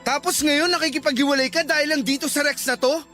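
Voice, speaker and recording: A man's voice: very high-pitched, steady tone, quick pace, bright, forceful tone, faint music behind. A man playing a hurt, suspicious boyfriend in a Filipino radio drama, insistent and earnest, with a Filipino accent.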